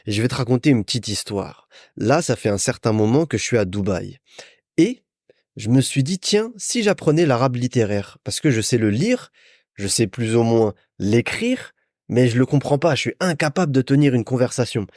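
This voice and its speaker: A man's voice: mid-range voice, lively intonation, moderate pace, a little mellow, no echo, studio quality. A young man from France hosting a solo podcast, telling stories in a chatty, informal way as if to a friend. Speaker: podcast host. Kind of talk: casual solo podcast monologue, storytelling.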